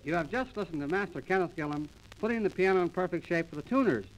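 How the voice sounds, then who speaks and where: Male radio comedy announcer, early 1930s: fairly high voice, mock-formal wisecracks, music behind, old transcription disc sound. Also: lively intonation, fast, highs cut off. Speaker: comedy duo host, announcer and straight-faced joker.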